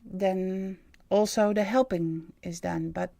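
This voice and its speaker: She is a Dutch woman in her fifties speaking English as a second language, and she takes her time with plenty of hesitations. Her voice has a gravelly edge, and it sits close and dry on the mic. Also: medium pitch, fairly even intonation, dark tone, no room sound. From Netherlands. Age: 50s. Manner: halting, many ums and uhs, searching for English words. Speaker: livestream host and medium. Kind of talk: hesitant livestream monologue.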